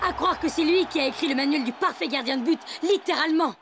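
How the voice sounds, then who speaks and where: A woman playing a sharp, teasing football commentator in a French cartoon dub. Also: high-pitched, quick pace, slight background noise, lightly acted. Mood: excited. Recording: loud music behind commentary.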